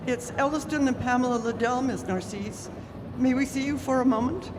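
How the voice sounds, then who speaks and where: Actress as a higher-voiced, poised clergyman's wife. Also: normal speed, lightly acted. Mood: polite, concerned. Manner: polite, poised. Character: composed, courteous, unflappable clergyman's wife. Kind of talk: old-time radio drama acting. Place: live stage staged as a radio studio. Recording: reverberant hall with clear background noise.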